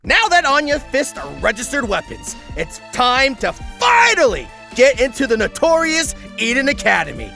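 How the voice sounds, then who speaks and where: Woman recap narrator, bright forceful voice, sarcastic, theatrical, foul-mouthed, extremely animated, music underneath. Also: sits high, normal speed, vocal fry, few pauses. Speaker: narrator, host. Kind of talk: comic scripted recap narration.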